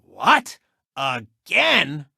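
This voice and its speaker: An actor voicing a cocky, gloating race car turned sportscaster in a video game, with a boastful, mocking announcer delivery. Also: male voice, a little high, huge pitch swings, extremely slow, gravelly, pushed and bright, breathy edge, no room sound, studio quality, exaggerated voice. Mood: sulky, annoyed.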